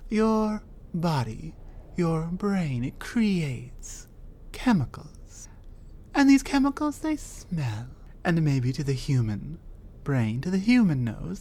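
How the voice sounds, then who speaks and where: Woman doing a low dark-voiced troll lady, bossy and territorial, teasing, slips into whispers. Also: full character voice. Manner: slow, taunting, drops to whispers. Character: territorial, dominant female troll living alone in a cave. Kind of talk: ASMR roleplay audio.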